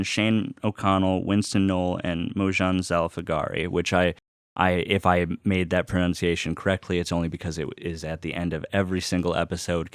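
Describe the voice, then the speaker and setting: A man with a lowish voice talks casually to his viewers in a dry-sounding recording. He gushes with open enthusiasm, repeats phrases for emphasis and swears freely as he shows off something he loves. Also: no room sound. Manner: gushing, enthusiastic fan talk with repetition and swearing. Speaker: host of a personal video series. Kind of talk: casual unboxing chat.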